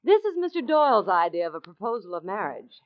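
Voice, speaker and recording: Animated, plucky American dame played by a woman. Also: medium pitch, fast talker, no echo, natural voice. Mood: rueful, confiding. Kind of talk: old radio drama acting. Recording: dull old radio recording.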